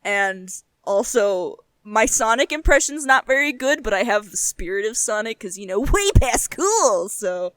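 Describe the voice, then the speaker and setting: A woman's voice: fairly high pitch, sing-song, unhurried, few pauses, fairly bright tone. A young adult woman chatting casually as a podcast co-host, giggly and emphatic, with plenty of swearing. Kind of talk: casual chat.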